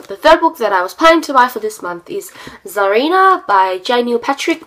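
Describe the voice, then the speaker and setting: A woman's voice: medium pitch, a bit bright, low-quality recording. Young woman with a stuffy, sick nasal voice. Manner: rambling with many ums and self-corrections. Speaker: book vlogger. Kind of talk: casual chatty book haul. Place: small bedroom with bookshelves, indoors.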